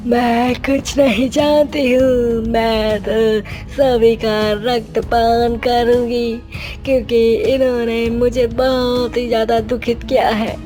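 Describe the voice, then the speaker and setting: Slow, menacing female shadow spirit, acted by a woman. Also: a little high, little pitch movement, dark tone, faint music behind. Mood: bitter, vengeful. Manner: menacing, drawn-out threats. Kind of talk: dramatic cartoon story acting.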